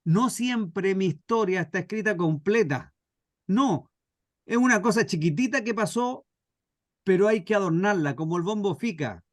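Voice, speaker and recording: A man's voice: high-pitched, animated, moderate pace, dry recording, studio quality. A man with a Chilean accent teaching a workshop informally through stories, repeating phrases for emphasis. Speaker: scriptwriting workshop instructor. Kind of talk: informal teaching with acted anecdotes.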